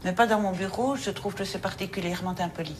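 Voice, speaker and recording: A woman's voice: mid-range voice, fast talker, slight background noise. A woman prosecutor, questioning a suspect firmly in her office. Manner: stern, firm, pointed questions.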